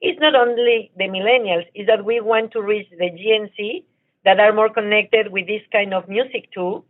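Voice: mid-range voice, fairly even intonation, normal speed. Person on a tinny phone line. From Mexico. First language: Spanish. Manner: earnest, searching for words. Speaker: guest representing an award show.

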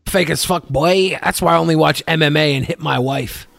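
Male podcast panelist, somewhat high voice, casual banter, crude humor, foul-mouthed. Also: a little fast, creaky, a bit bright. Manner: crude, joking, swears freely.

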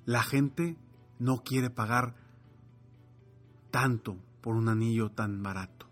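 Storybook young apprentice, played by a male narrator: earnest, respectful, pleading, husky, music behind. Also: medium pitch, slow. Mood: apologetic.

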